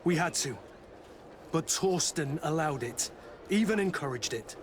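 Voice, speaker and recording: Solemn, higher-voiced soldier over ambient noise. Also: male voice, expressive, measured pace. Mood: grave, regretful. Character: betrayed, regretful soldier mentor of the Guard. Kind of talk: dramatic video game dialogue. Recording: clear outdoor ambient noise behind.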